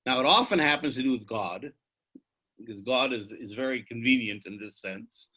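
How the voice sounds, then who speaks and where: A man's voice: medium pitch, normal speed, sharp tone, slightly rough, low-quality recording. An older man, an interview guest on a video call, explaining history emphatically and lecturing with frequent "you see" and restarts. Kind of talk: earnest explanatory conversation.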